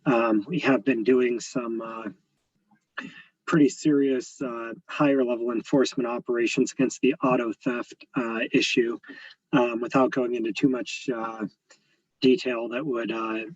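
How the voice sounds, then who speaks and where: A man with a raspy, hoarse voice giving a police update at a community meeting, measured and full of fillers. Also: medium pitch, no echo. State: hoarse from sneezing. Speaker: police lieutenant. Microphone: video call. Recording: muffled, distant video-call audio.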